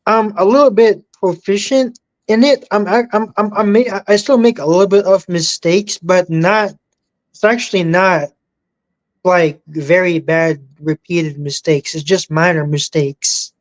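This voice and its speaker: Stammering woman with a low voice. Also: steady tone. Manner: halting, stammers and repeats words before sentences. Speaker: guest. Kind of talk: casual live chat.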